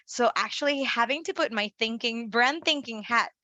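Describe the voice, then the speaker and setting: A woman from Mexico whose first language is Spanish, chatting as an interview guest with a bright, forceful, fairly high voice. She talks in an animated way, laughs easily and restarts her sentences often. The sound is dry and close to the mic. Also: moderate pace, no room sound. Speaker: marketing consultant guest. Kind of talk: casual interview.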